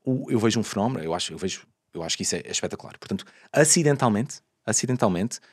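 A man in his thirties with a European Portuguese accent, a guest talking quickly and animatedly, often repeating words as he thinks. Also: on the low side, lively intonation, slightly rough, no room sound, studio quality. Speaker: guest, crypto company founder. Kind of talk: casual interview chat. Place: podcast studio. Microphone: boom-arm studio mic.

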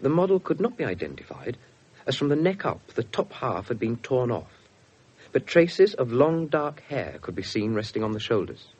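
Raspy narrator, 70s radio crime drama, detached, pitch moves a lot. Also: male voice, big pitch swings, moderate pace, darkish tone, muffled recording. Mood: detached, factual. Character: measured, detached male narrator of a police story.

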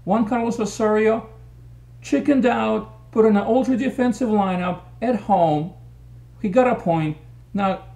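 Middle-aged guy ranting about soccer tactics into his webcam, kinda mellow tone. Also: high voice, gravelly. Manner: opinionated, gestures while explaining tactics. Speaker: soccer commentator. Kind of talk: post-match analysis monologue. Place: small room indoors. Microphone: webcam at desk.

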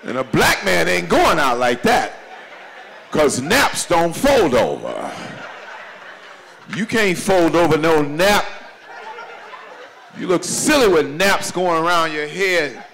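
A man in his forties from doing stand-up comedy at a church celebration, swinging between loud high exclamations and low confiding asides. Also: big pitch swings, slow, creaky, pauses often. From Chicago, USA. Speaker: comedian pastor. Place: banquet hall at a church event. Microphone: handheld microphone. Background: audience laughter.

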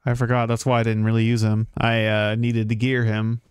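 A man's voice: lowish voice, monotone, fairly quick, clear, resonant tone. A man chatting casually and informally as he streams a game, muttering asides. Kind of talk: casual livestream chat.

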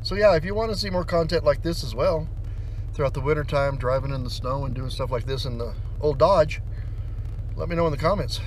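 American male vlogger, thirties, higher-pitched, folksy and playful, casual driving chat with noticeable engine and road noise. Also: brisk. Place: inside a pickup truck cab while driving. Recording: noisy car interior, engine and road noise.

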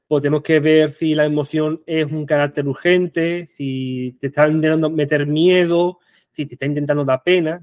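A young man with a marked Andalusian accent, giving an animated online conference talk with rhetorical questions and frequent restarts, speaking on a headset mic in a small room. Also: fairly high pitch, no room sound, studio quality. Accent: Andalusian Spanish.

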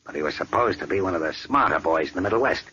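An actor playing a ruthless gangster boss with a gravelly voice and tough street talk, in 1940s radio acting. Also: male voice, deep voice, animated, racing, sharp tone, dull audio. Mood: menacing. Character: menacing gangster boss, ruthless adult man. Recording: old radio recording with faint hiss.

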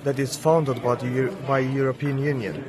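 A man's voice: medium pitch, steady tone, normal speed. A man, a non-native English speaker, asking questions as an interviewer. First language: not English. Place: busy indoor school space. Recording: chatter around the voice.

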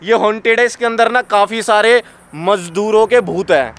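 A young man with a high-pitched voice hosts a casual vlog. He explains things step by step in chatty, colloquial speech. The sound rings in a big empty building, with clear noise behind him. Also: moderate pace, fairly bright tone. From India. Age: 20s. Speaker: host of a haunted-location exploration vlog. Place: large derelict factory and overgrown grounds at night. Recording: echoey derelict building with clear background noise.

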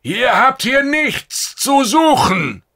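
A man voicing a furious, defiant shapeshifter in an audiobook, shouting forcefully as he guards his territory. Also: very high voice, big pitch swings, takes it slowly, voice pushed up, exaggerated voice.

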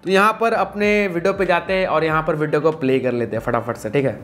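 A man's voice: a little high, quick pace, a bit bright, faint music behind. A man, giving a chatty, informal step-by-step tutorial with English tech words mixed in. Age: 20s. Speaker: video tutorial host. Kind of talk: casual step-by-step tutorial to camera. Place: small indoor room with computer setup. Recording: clearly noisy room recording.

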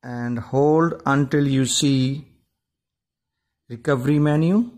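A man giving an informal step-by-step tech tutorial, explaining in a halting, repetitive way. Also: mid-range voice, fairly even intonation, a little mellow. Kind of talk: informal step-by-step how-to narration.

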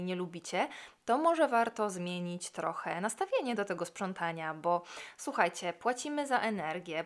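A woman in her thirties, talking casually about cleaning her home in a relaxed voice-over with many fillers. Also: fairly low pitch, smooth. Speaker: cleaning vlogger.

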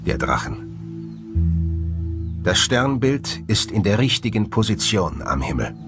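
A man presenting scripted documentary narration in an expressive, dramatic manner. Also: on the low side, unhurried. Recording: music under the voice. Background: music.

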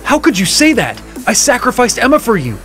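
A man's voice: extremely high pitch, huge pitch swings, a little fast, fairly bright tone, soft background music, exaggerated voice. A man playing an angry, petty boss and scornful ex-lover in an audio drama. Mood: exasperated, defensive.

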